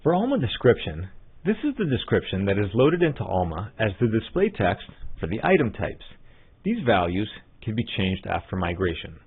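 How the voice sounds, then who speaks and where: A man giving scripted step-by-step tutorial narration as a software trainer, in an instructional manner. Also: lowish voice, wildly swooping pitch, normal speed, slightly rough. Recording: muffled narrow phone-like line.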